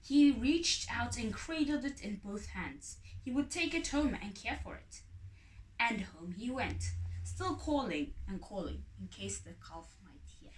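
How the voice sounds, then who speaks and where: A woman's voice: a little high, animated, measured pace. Woman reading a story in an echoey room. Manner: dramatic, emphatic storytelling. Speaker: storybook reader. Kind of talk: expressive read-aloud of a children's story. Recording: roomy echo, distant mic, faint noise.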